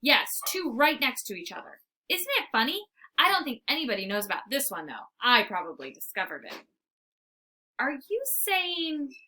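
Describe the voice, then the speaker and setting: A woman's voice: sits high, big pitch swings, normal speed, bright, forceful tone, exaggerated voice. A woman voicing a large, skeptical female dog in a storybook, haughty and sarcastic, growly when offended. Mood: mocking.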